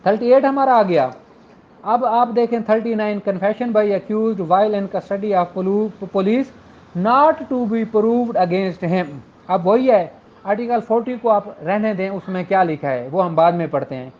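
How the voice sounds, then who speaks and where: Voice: mid-range voice, takes it slowly. A law lecturer with a Pakistani accent explaining informally with examples, mixing in English legal terms. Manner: Urdu speech mixed with English legal terms. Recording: dull, lo-fi audio.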